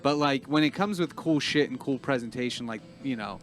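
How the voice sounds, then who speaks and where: Casting: American male co-host, mid-range voice, opinionated casual stream talk over quiet music. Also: medium pitch, a little fast. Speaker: livestream co-host. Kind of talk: casual chat. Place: small cluttered room indoors.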